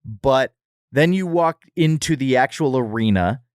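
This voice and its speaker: A man's voice: medium pitch, lively intonation, unhurried, clear, resonant tone, no echo, clean studio sound. A man co-hosting a podcast, giving a wry, descriptive review in casual, comedic conversation. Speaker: podcast co-host.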